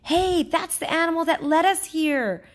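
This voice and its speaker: A woman voicing an excitable, curious young girl adventurer in a read-aloud storybook, with eager, breathy wonder. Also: extremely high pitch, normal speed, voice pushed up.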